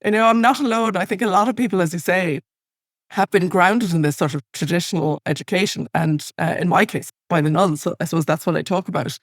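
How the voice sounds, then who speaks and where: A woman's voice: on the low side, brisk, dry recording. Chatty Irish woman with a dry, close sound. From west of Ireland. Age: 50s. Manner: talkative, witty. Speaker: podcast guest, comedy writer. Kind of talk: casual anecdotal chat.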